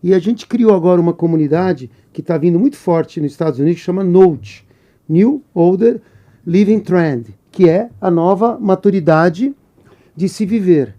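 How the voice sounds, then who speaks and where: A man in his sixties, a businessman and mentor, talking as a podcast guest in a friendly, energetic way, listing things off. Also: high voice, moderate pace, highs cut off. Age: 60s. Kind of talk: podcast interview.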